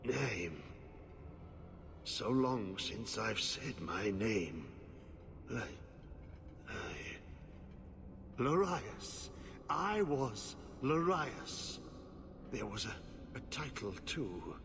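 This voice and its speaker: In a long, noisy reverberant hall, a haunted old man speaks very slowly. His phrases come broken and cryptic, as a tainted, half-mad former commander in a fantasy game, sometimes sinking into breathy whispers. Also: lowish voice, lively intonation, heavily acted. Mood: haunted, dazed. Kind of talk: video game character acting. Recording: echoing hall with noisy ambience.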